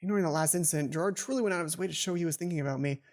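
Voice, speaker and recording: A man's voice: fairly high pitch, racing. A young man hosting a let's play, reading a visual novel aloud and adding casual commentary. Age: young adult. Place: small indoor home room. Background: game music.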